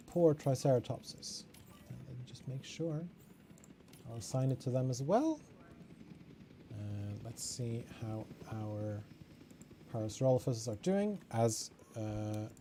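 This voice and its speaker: Mellow-voiced Norwegian game streamer. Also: male voice, medium pitch, slight background noise. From Norway. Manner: chatty, self-mocking, mutters while playing. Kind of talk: casual gameplay commentary.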